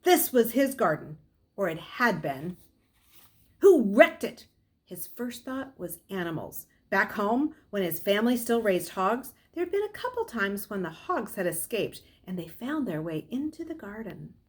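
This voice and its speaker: A middle-aged woman reading a novel aloud as a storyteller, in expressive narration. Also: medium pitch, measured pace. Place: small furnished room at home, indoors.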